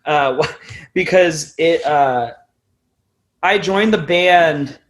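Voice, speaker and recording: Rambling, self-deprecating young man, higher voice. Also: drawn-out words. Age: 20s. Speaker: podcast guest, band musician. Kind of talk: casual interview chat.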